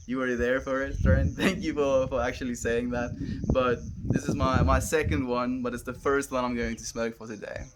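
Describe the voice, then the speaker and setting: A young South African man chatting on a live stream outdoors, earnest and gentle, calling his viewers friend. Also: medium pitch, flat delivery, moderate pace, very noisy. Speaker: livestreamer. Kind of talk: casual live stream chat reading comments. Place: outdoors, wind and cows nearby.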